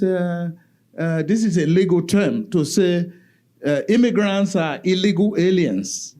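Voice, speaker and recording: A man talks on a conference panel, stopping and restarting his sentences with many fillers. His voice sits a little high, yet it sounds soft and mellow. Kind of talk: academic panel talk. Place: conference hall.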